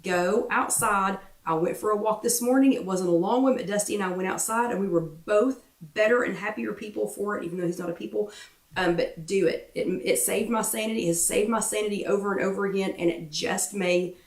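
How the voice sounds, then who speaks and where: A woman hosting a wellness workshop, chatting casually and encouragingly to viewers, repeating herself for emphasis with lots of ums, her voice getting croaky. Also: medium pitch, fairly quick, recorded from a distance. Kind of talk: casual motivational chat to viewers. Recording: roomy echo, away from the mic.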